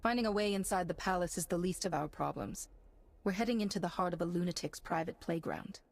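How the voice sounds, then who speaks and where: A woman's voice: fairly low pitch, quick pace, natural voice. An actress playing a resolute, composed female Republic hero and negotiator in a video game. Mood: determined. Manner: firm, composed, authoritative.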